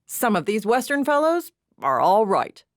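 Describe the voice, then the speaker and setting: A woman's voice: on the high side, big pitch swings, a little slow, dry recording, clean studio sound, voice pushed up. A woman voicing a chatty male train passenger who speaks in a casual, knowing way.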